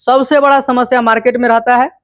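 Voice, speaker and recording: Bihari guy explaining local news, really high voice, repeats stuff for emphasis. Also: flat delivery, brisk, fairly bright tone. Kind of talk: informal news explainer to camera.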